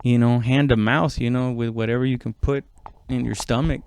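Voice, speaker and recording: A man talking in a casual, rambling podcast monologue, halting and conversational, with frequent swearing. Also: medium pitch, fairly even intonation, a little mellow, slight background noise. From immigrant, moved abroad at seven. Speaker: stand-up comedian podcast host.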